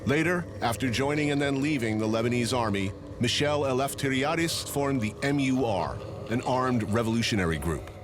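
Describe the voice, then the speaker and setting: A man reading scripted documentary narration in a measured, even way. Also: medium pitch, background noise.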